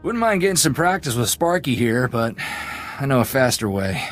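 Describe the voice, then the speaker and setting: An actor playing an eager, friendly gunslinger sidekick in a video game, with an easygoing, folksy way of talking. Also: male voice, mid-range voice, sing-song, normal speed, faint music behind, natural voice. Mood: chatty, eager.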